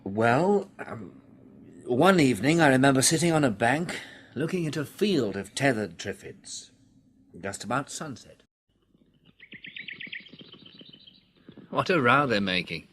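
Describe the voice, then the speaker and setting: An actor playing a dry, sceptical, educated plant scientist with an English accent in a classic radio drama. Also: male voice, mid-range voice, big pitch swings, moderate pace, a bit bright, slight background noise. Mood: reflective.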